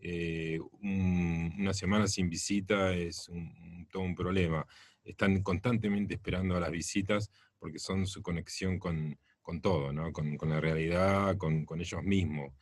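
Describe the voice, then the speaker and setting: Deep-voiced Argentine radio interviewer. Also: male voice, steady tone, normal speed, no room sound. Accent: Argentine Spanish.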